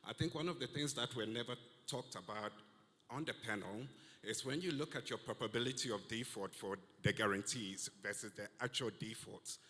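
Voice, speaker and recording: A man's voice: medium pitch, normal speed, fairly bright tone. A man in the audience asking the panel a polite question, searching for words as he goes. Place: conference hall. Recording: long hall reverb.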